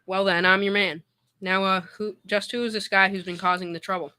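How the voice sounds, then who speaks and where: Swaggering radio comedy boyfriend woman performer: boastful, slangy, rapid, bright delivery. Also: mid-range voice, fairly even intonation, smooth, dry recording, natural voice. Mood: cocky, boastful. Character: cocky, boastful tough-guy boyfriend Al. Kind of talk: 1940s radio comedy acting.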